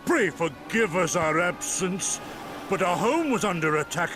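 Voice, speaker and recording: Cowed beastman minion, game cutscene, high slow pleading voice, music behind. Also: sing-song. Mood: fearful pleading. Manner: deferential, formal, pleading. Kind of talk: dramatic video game voice acting.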